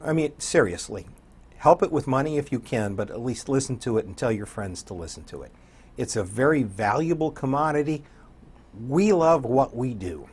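An older man with an American accent and a hoarse voice, chatting as a radio DJ guest in an interview. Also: medium pitch, animated, moderate pace, darkish tone. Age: 60s or older. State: hoarse, getting over an illness. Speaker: community radio program director and morning DJ, guest. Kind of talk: conversational interview. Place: small indoor TV studio.

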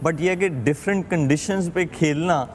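A man's voice: on the high side, normal speed. A man in his thirties with a Pakistani accent giving studio cricket analysis, mixing Urdu and English. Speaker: cricket panelist. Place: indoor TV studio. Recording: long reverb, faint noise.

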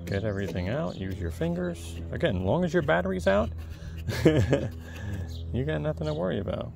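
A man with a soft, calm voice chatting casually to viewers while cleaning something outdoors on a lawn, filmed on a close-held camera. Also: fairly high pitch, moderate pace, creaky, music in the background. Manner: chatty, rambling asides to the viewer. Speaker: video creator. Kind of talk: casual how-to talk while working. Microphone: camera held close, point of view.

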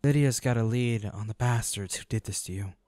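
A man playing a devoted boyfriend and weary, protective monster hunter in an audio roleplay, speaking earnestly in short, halting phrases. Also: on the low side, a little mellow, no room sound, close to an everyday voice. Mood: tender, anxious.

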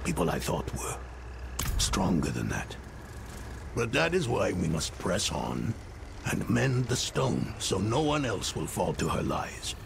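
A man playing a weary, doubt-ridden scholar-warrior in a dark fantasy game, grave and halting. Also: low-pitched, huge pitch swings, vocal fry, background noise, full character voice. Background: game music.

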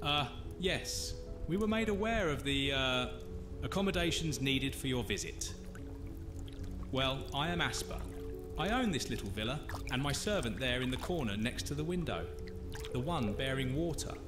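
A man's voice: mid-range voice, animated, moderate pace, over music, lightly acted. A man playing a polite, effusive Roman villa owner with witch blood in a fantasy audio drama. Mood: welcoming.

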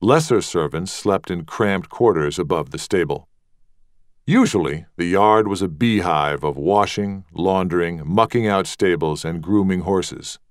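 A man reads literary fiction as an audiobook narrator. His voice is deep and his intonation varied, with deliberate phrasing. The recording is dry and close. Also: lively intonation, dry recording.

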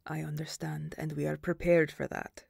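A woman playing a stern, duty-bound banshee mother in an audio roleplay, speaking formally and gravely. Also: low voice, little pitch movement, normal speed, darkish tone, no room sound, clean studio sound, exaggerated voice.

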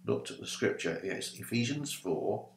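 A man's voice: lowish voice, moderate pace, roomy sound, slight background noise. An older man, a minister, giving an ad-libbed teaching talk to a webcam in a small home study, gesturing as he talks. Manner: animated, gesturing with both hands, ad-libbed. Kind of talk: informal teaching talk to camera.

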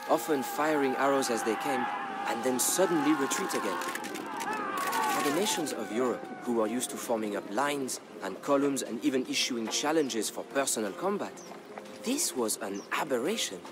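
A man narrating a historical tale as a dramatic scripted story, in a measured, grave storyteller's delivery. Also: medium pitch, expressive, very noisy. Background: background music.

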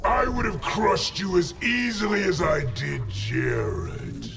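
A brutal, arrogant emperor from a fighting game. His gravelly voice swings widely in imperious menace, heard from a distance with long reverb over music. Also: high voice, wildly swooping pitch, slow, fairly bright tone, heavily acted. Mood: menacing. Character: brutal, arrogant warlord emperor with a booming growl.

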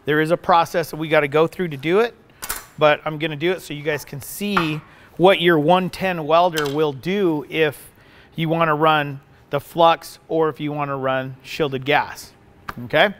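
A man's voice: a little high, steady tone, normal speed, a bit bright, slight background noise. A middle-aged man giving a casual welding demonstration in a workshop, folksy and conversational, often checking in with "okay?". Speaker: welding instructor. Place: large indoor welding workshop.

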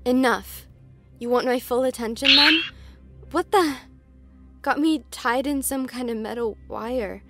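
A woman's voice: high-pitched, measured pace, over loud music. A woman playing a plucky, wisecracking young superhero in an audio drama, defiant in manner. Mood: angry.

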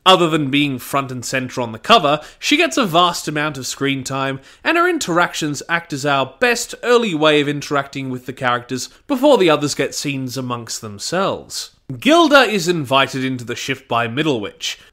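A man's voice: fairly high pitch, very animated, moderate pace, few pauses, pushed and bright. A man reading a scripted review as its host, in an opinionated manner.

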